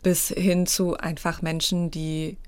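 Young woman, clear, low-pitched voice. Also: level tone, slow pace, no echo. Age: young adult. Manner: earnest, articulate, emphatic at times. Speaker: rabbinical student and influencer. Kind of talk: interview answers.

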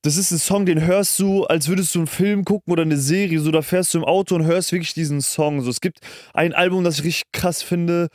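A man's voice: a little high, fairly even intonation, brisk, no room sound, studio quality. A young man chatting casually as a podcast co-host, in colloquial, slangy youth speech. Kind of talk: casual chat.

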